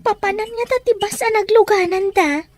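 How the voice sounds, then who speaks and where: A woman's voice: very high-pitched, moderate pace, no echo. A woman playing a worried young daughter who pleads for her siblings in an Ilocano radio drama, with an Ilocano accent. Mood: puzzled, searching.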